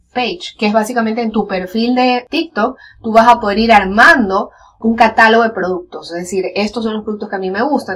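A woman's voice: medium pitch, fairly bright tone, breathy edge. A woman in her thirties giving a chatty step-by-step screen-share tutorial from home, explaining as she goes with many fillers. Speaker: online business educator. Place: home room, webcam corner inset.

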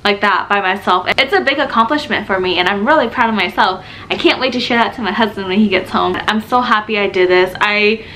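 A woman's voice: mid-range voice, a little fast, hardly any pauses, bright, forceful tone, slight background noise, low-quality recording. A young woman talking casually to her camera at home, chatty and confiding, with a stuffy nose and a little out of breath. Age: young adult. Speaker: vlogger. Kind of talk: casual vlog talk. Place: home room, indoors.

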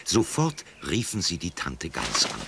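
A man's voice: sits low, huge pitch swings, unhurried, gravelly, very noisy. A man narrating a scripted children's story as its storyteller. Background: prominent music.

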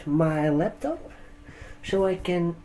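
A young man in his twenties performs ASMR roleplay in a mellow, hushed voice. He goes very slowly and haltingly, with swooping intonation. Faint clicks and typing sit in the background. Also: fairly high pitch, animated, slight background noise. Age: 20s. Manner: slow, halting, hushed delivery with short phrases. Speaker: ASMR performer playing a barber receptionist. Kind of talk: ASMR barbershop roleplay, soft-spoken. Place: indoor room, seated at a desk. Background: computer keyboard typing and clicks.

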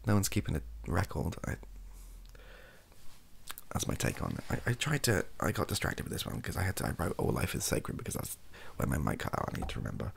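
A young British man, softly spoken, rambling hesitantly through an opinion monologue in a gravelly, husky voice and often trailing off. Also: low-pitched, very animated, brisk, a little hiss or hum. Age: young adult. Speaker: ASMR YouTuber. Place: small home room, indoors.

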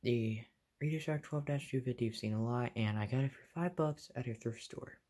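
A young American man with a medium-pitched voice talks viewers through a hobby in a casual way. His phrases are short, plain and offhand. It sounds like a handheld phone video made in a bedroom. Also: normal speed, a little mellow. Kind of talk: casual show-and-tell narration. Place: small carpeted bedroom, indoors. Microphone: handheld phone behind the camera.

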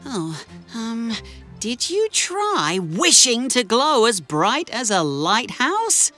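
A woman voicing a cheerful, eager, helpful young male steam engine in a children's cartoon, earnest, polite and expressive. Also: a little high, slow, faint music behind, exaggerated voice. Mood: concerned, helpful. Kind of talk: animated children's cartoon acting.

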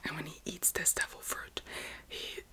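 A woman of about twenty whispering casual, excitable ASMR chat as a video host, often repeating words softly. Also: normal speed. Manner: whispers almost throughout.

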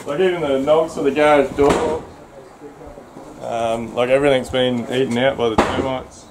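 Australian builder in echoey gutted house. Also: male voice, mid-range voice, moderate pace. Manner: relaxed, explanatory, lots of ums. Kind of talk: casual site walkthrough. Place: house under renovation, indoors. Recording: echoey bare rooms, noisy.

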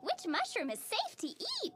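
A woman voicing a relentlessly cheerful, naive little girl in a children's cartoon, squeaky, bubbly and gushing, with a sing-song delivery. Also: wildly swooping pitch, normal speed, heavy background noise, heavily acted. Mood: chirpy, eager. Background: playful children's music.

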